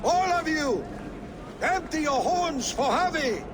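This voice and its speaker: A man playing a boisterous, mocking Norse feast reveller who declaims in a video game, over loud ambience. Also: very high-pitched, animated, slow, noisy background, heavily acted. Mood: wry, announcing.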